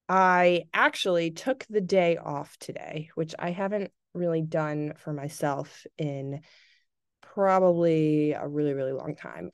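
Young female podcast host, chatty comic storyteller, lowish register, very dry sound. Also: smooth, dry recording. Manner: chatty, exaggerating for comic effect. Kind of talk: casual personal storytelling.

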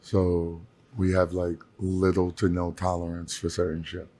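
A young man with a hoarse voice co-hosting a casual podcast, joking in slang. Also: low voice, monotone, measured pace, warm tone. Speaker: podcast co-host.

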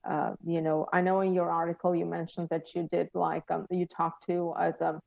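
A woman interviewer whose first language is not English, asking questions haltingly with many fillers and restarts. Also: fairly low pitch, flat delivery, vocal fry, darkish tone, dry recording, highs cut off. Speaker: interviewer, doctoral student. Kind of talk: academic interview.

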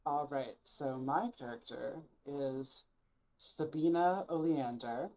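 A player in a casual tabletop game podcast, talking in a relaxed way. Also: mid-range voice, slow, rough recording. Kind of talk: casual chat.

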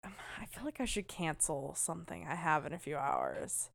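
A woman co-hosting a podcast in casual chat, with a loud, booming voice and a teasing, animated way of talking full of fillers. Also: moderate pace, vocal fry, dry recording, clean studio sound. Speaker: podcast co-host.

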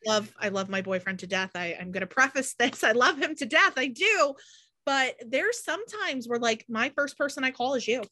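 A woman's voice: high-pitched, lively intonation, moderate pace, bright, forceful tone. A woman of about thirty hosting a casual podcast chat, emphatic and peppering her talk with "like". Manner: emphatic, frequent "like" filler. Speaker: podcast host.

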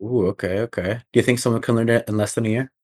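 A man's voice: mid-range voice, steady tone, very fast, darkish tone. A young man co-hosting a casual podcast, brash and joking, full of slang and swearing. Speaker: podcast co-host. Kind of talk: casual chat.

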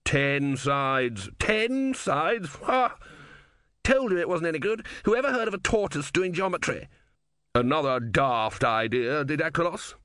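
A man's voice: medium pitch, animated, takes it slowly, hardly any pauses, bright, forceful tone, clean tone, no echo, clean studio sound, voice pushed up. A man voicing a crowd of petulant male philosophers squabbling over bets.

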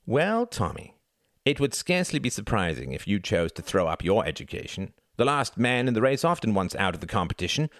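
A man's voice: very animated, brisk, fairly bright tone, no room sound, clean studio sound. A man reading as an arrogant, sneering upper-class young man, indignant and haughty. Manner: haughty, indignant, rising shrill.

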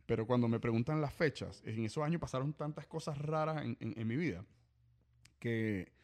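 Relaxed podcast host, slangy chat, keeps calling his guest brother. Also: mid-range voice, moderate pace. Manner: slangy, says brother a lot.

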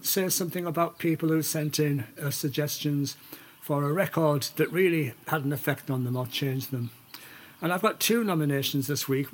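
An older man chatting casually as a solo podcast host, hesitant and digressive, with wry, opinionated asides. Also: on the high side. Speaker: podcast host and amateur musician.

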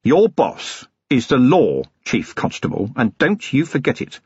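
A blunt, exasperated police commander, acted by a male narrator. He lectures forcefully in a husky voice with big swings of pitch, as in an audiobook. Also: wildly swooping pitch, measured pace, no room sound, lo-fi sound, heavily acted. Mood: urgent, blunt.

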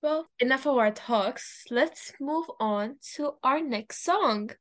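A woman's voice: high voice, slow. A young woman from Pakistan, a native Urdu speaker, co-hosting a radio show in a chatty, informal way. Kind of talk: casual radio chat.

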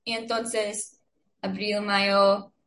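A young woman who speaks first, chatting in halting learner Spanish and searching for words. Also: medium pitch, little pitch movement, creaky, fairly bright tone. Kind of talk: casual chat in learner Spanish.

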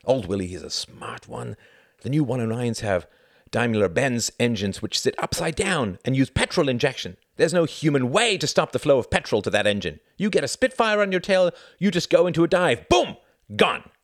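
A man voicing a brash, hot-tempered, boastful German fighter pilot in an audiobook, forceful and menacing. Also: medium pitch, lively intonation, normal speed, vocal fry, no room sound, studio quality. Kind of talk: acted audiobook dialogue.

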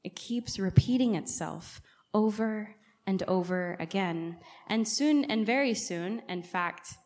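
A woman's voice: mid-range voice, slow, darkish tone. A woman, a guest preacher and native English speaker, giving a conversational church sermon and often putting questions directly to the congregation. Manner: engages listeners with frequent direct questions.

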